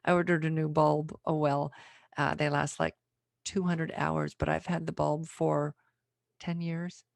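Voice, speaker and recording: A woman's voice: sits low, little pitch movement, unhurried, gravelly, no room sound, studio quality. A middle-aged woman from the United States hosting a podcast in a casual, conversational interview style. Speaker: podcast host.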